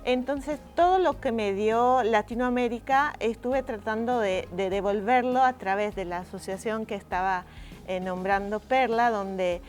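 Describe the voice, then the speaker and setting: A middle-aged woman from Venice, a native Italian speaker, talking reflectively as an interviewed writer, expressive and often pausing to search for words. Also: fairly high pitch, faint music behind. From Venice, Italy.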